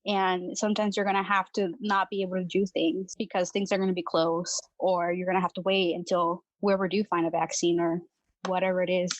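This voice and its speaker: A woman's voice: on the low side, little pitch movement, brisk, no room sound, poor audio. Young woman from Venezuela, casual and chatty podcast guest, dry close recording. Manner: chatty, laughs often, many fillers. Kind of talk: casual chat.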